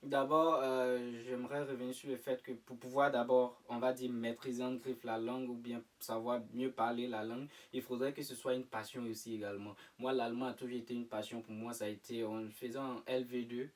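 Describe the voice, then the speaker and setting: A man's voice: mid-range voice, little pitch movement, a little fast, hardly any pauses, distant mic. A young man from Côte d'Ivoire, answering interview questions in a casual, hesitant way with many fillers and restarts. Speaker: interview guest.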